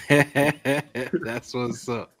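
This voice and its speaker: A man's voice: medium pitch, steady tone, extremely slow, a bit bright, no echo. A man hosting a podcast, upbeat in his announcements and casual in conversation, calling his guest bro. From United States. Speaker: podcast host.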